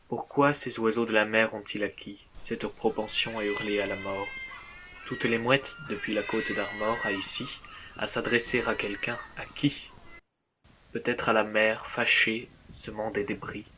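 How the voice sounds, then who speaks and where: A man reciting scripted verse in a measured, declamatory way, pausing between phrases. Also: lowish voice, sharp tone, slight background noise, poor audio.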